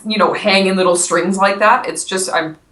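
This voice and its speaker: A woman's voice: mid-range voice, steady tone, moderate pace, sharp tone. A woman being interviewed as a guest, chatting casually and explaining ideas with simple everyday examples. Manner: friendly, explains with simple examples, laughs easily. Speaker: guest, Akashic records consultant. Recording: echoey room, far from mic.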